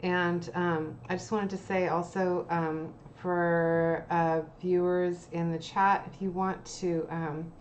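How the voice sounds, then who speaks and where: Co-host, woman, lowish voice, chatty moderator with faint background hiss. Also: flat delivery, slow pace, few pauses. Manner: friendly, filler-heavy moderator. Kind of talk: moderating a streamed salon.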